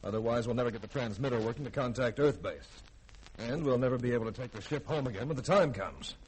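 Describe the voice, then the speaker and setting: An actor playing a stern spaceship skipper with a brisk, authoritative manner, in old radio drama style. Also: male voice, mid-range voice, natural voice. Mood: brisk, irritated. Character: stern, commanding spaceship skipper, awkward around women. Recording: noisy vintage radio drama recording.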